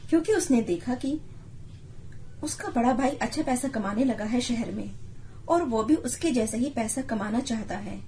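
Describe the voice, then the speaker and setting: A woman's voice: a little high, animated, moderate pace, warm tone, a little hiss or hum. A woman giving scripted narration of a moral story as its storyteller.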